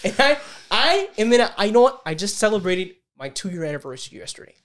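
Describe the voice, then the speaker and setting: A man co-hosting a podcast, telling a story in casual banter with a big voice, animated and profane, quick to snap back at the others. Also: sits high, background noise. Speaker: podcast co-host.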